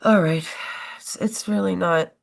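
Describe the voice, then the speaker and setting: A woman's voice: lowish voice, steady tone, measured pace, gravelly. A stuffed-up woman co-hosting a casual political chat livestream, rambling and breaking off mid-sentence, often laughing. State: stuffed up from a cold. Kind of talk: casual live-stream chat.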